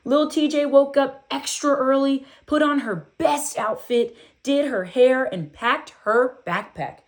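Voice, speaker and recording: A woman reading a children's book aloud in an expressive, deliberate storytelling style with emphatic phrasing. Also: high voice.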